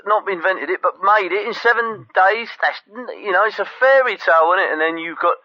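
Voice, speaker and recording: Stroppy bloke ringing radio show, high voice, dismissive, lo-fi phone line. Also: male voice, brisk, bright, forceful tone, dry recording. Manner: blunt, colloquial, dismissive, interrupting. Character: blunt, argumentative, dim male sceptic caller. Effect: telephone line. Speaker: phone-in caller.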